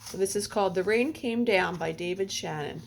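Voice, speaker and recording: A woman reading a picture book aloud to young children like a teacher, with a deliberate, expressive delivery that slows for refrains. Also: mid-range voice, vocal fry, slight background noise.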